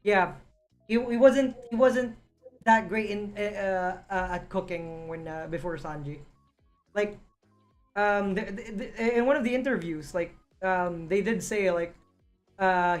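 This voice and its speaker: A woman hosting a casual review chat, excitable and quick to laugh, often restarting her sentences. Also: on the low side. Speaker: host reviewing a series.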